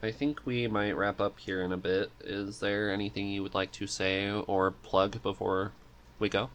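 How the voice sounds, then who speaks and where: A man's voice: fairly low pitch, little pitch movement, normal speed, fairly bright tone. A man hosting a podcast, chatting casually with a guest in a laid-back, dryly playful way. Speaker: podcast host. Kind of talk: casual interview chat.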